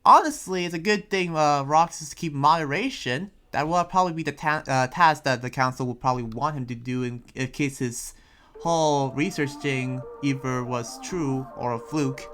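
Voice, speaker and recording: Someone playing an earnest town preacher in an improvised anime game roleplay, speaking haltingly and hesitantly. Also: medium pitch, a bit bright, lightly acted. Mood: pondering. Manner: halting, hesitant, often trailing into "you know". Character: Yuma, earnest town preacher busy planning a festival. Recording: music behind.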